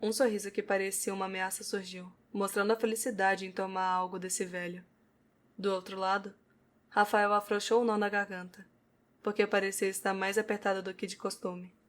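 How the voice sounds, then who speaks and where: Female narrator, Brazilian accent, scripted audio novel reading, mid pitch, steady flat intonation. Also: moderate pace.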